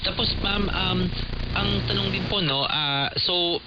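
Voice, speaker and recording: A man with a Filipino accent conducting a polite radio interview, feeling his way haltingly through his questions. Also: high voice. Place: radio broadcast picked up on a receiver. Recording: noisy, muffled AM radio reception, heavy static.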